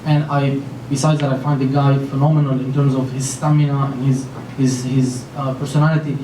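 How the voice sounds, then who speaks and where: A man's voice: medium pitch, little pitch movement, a little fast, warm tone. A man in his thirties from Lebanon, speaking on a conference panel in an earnest, rambling way full of fillers and restarts. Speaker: panelist, company founder. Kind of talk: panel talk. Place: large conference room with an audience. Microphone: handheld mic. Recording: echoey room from far off, faint noise.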